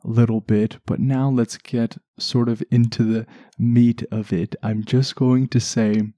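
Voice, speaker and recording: A man hosting a relaxation podcast in a soft, soothing voice, chatting gently and without hurry, lulling enough to send listeners to sleep. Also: medium pitch, little pitch movement, dry recording, clean studio sound. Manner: gentle, unhurried, chatty asides. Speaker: podcast host.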